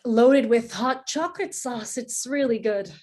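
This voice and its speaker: A woman playing a nervous, polite waitress who hides her strain, soft-spoken and hesitant, in a rehearsed screenplay read. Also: on the high side, measured pace. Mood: polite, tentative.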